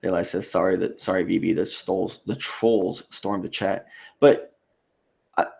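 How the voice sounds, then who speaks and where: Low, creaky-voiced man on a livestream. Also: steady tone, a little slow, a little mellow. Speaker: live stream host. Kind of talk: casual livestream chat, reading viewer comments aloud.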